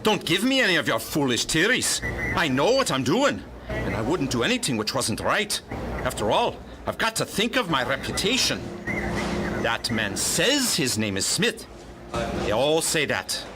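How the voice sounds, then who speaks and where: An actor playing a pompous, irritable and stubborn police detective in a pulp radio drama, brusque and blustering. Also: male voice, on the high side, sing-song, normal speed, noisy background. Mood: self-important, dismissive. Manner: blustering, brusque, talks over others.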